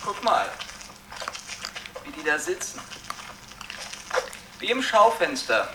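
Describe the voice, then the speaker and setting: A man's voice: sits high, sing-song, slow pace, full character voice. An actor in a radio drama playing a desperate male drifter, theatrical, sarcastic and erratic. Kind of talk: German radio drama acting. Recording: distant, faint background noise.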